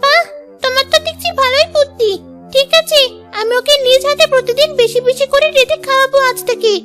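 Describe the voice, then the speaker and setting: Squeaky, spiteful cartoon younger sister. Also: female voice, fairly quick, fairly bright tone. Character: stepmother's shrill, spiteful younger sister, nosy and greedy. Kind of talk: Bengali cartoon dub. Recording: music behind the voice.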